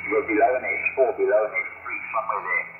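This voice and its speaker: A halting, deliberate man from South Africa giving a casual report as an amateur radio operator over shortwave. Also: on the low side, fairly even intonation. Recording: noisy, narrow shortwave radio, roomy speaker playback. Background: radio static.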